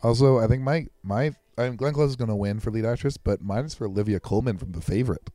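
A man's voice: mid-range voice, fast talker, warm tone, no room sound. A young man co-hosting a podcast, chatting loosely about a movie with lots of "like" and the odd swear word. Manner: casual, filler-heavy, swearing. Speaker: podcast co-host. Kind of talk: casual movie commentary chat.

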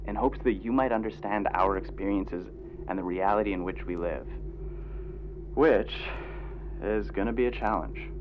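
A man playing a solemn self-taught researcher, giving a measured, formal scripted lecture on a dull, noisy recording. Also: lowish voice, natural voice. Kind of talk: scripted lecture monologue in audio drama. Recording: dull, noisy, humming old-style recording.

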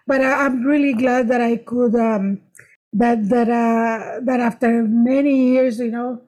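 A middle-aged woman with a foreign accent, not a native English speaker, chatting as a comedian guest in a halting way that repeats words. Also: on the high side, fairly even intonation, a little mellow, rough recording. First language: not English, which is a third language. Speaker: stand-up comedian, interview guest. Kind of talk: casual conversational storytelling with jokes.

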